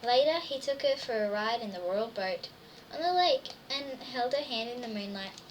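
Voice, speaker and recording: Lady reading kids storybook aloud, high voice, expressive n wondering, faint noise behind. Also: normal speed. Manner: wondering, exclaiming, addressing young listeners. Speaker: storybook reader. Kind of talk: expressive children's read-aloud narration. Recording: distant mic, faint background noise.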